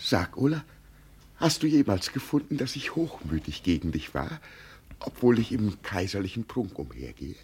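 An actor playing a gentle, deluded old peasant who believes he is an emperor, solemn and dreamy in a 1950 radio play. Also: on the low side, big pitch swings, normal speed, a little hiss or hum. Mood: solemn, questioning. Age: older. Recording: vintage 1950 radio recording.

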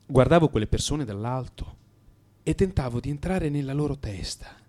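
An actor playing a troubled, melancholy man in crisis in a radio drama monologue, brooding and introspective, blaming himself in halting, repeated phrases. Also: mid-range voice, lively intonation, mellow, close to an everyday voice. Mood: despondent, self-blaming.

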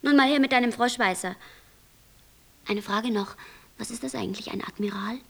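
An actress playing a brisk, inquisitive woman in a radio play. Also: fairly high pitch, vocal fry. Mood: brisk, curious. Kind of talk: German radio play acting. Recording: hissy, noisy recording.

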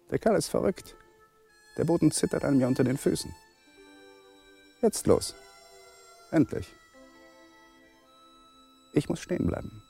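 An actor playing an anxious husband, speaking a fragmented inner monologue in a raspy, gravelly voice in a radio play. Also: male voice, medium pitch, warm tone, full character voice.

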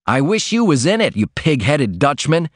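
A man's voice: on the high side, animated, normal speed, no echo, clean studio sound, pitched above the performer's own voice. Man putting on a rowdy boozy laundry worker. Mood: raging. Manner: loud, rough, reckless drawl.

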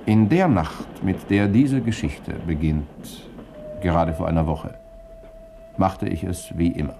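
Low, gravelly, wry journalist-sleuth. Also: male voice, unhurried. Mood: wry narrating. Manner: ironic, dryly humorous. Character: wry journalist, flippant amateur sleuth narrating his adventure. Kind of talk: 1960s German radio crime drama, narration and dialogue. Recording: noisy old 1960s radio recording.

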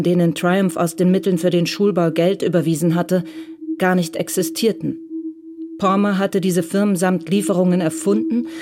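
A woman narrating a radio crime drama in a calm, measured way. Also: on the low side, noisy background.

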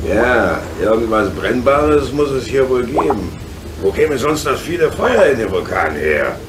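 An actor playing a steady, fatherly locomotive driver in a children's radio play, plain-spoken and reassuring. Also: a little slow. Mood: puzzled, curious. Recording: loud rumbling train noise behind.

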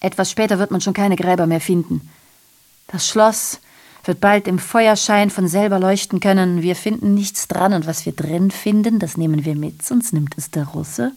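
An actress performs a female messenger of grim past events in a theatrical radio play. Her voice sits at a middle pitch. She declaims with sardonic mockery, then turns confiding, as if sharing a nasty secret. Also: fairly even intonation, normal speed, darkish tone, close to an everyday voice. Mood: sinister, confiding. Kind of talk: theatrical radio drama monologue.

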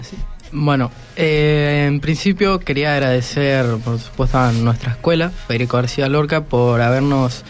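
Teen boy, school radio guest, very unhurried and polite, music softly underneath. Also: mid-range voice. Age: teenager. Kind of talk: informal prepared thanks and comments. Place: radio studio. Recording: music behind the voice.

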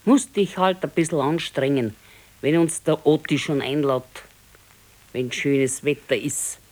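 A woman's voice: deep voice, a little slow, a little mellow. An actress playing an old grandmother and grumbling, practical wife in a radio play, speaking tersely and dryly with a Bavarian accent. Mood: coaxing. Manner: terse, matter-of-fact, dryly contradicting. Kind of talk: naturalistic radio play dialogue.

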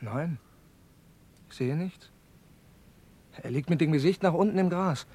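An actor playing an anxious, conscientious subordinate in a radio crime drama, hesitant and full of worried questions. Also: male voice, on the high side, unhurried, darkish tone, slightly rough. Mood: shaken. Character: anxious, conscientious male passenger and subordinate, easily cowed.

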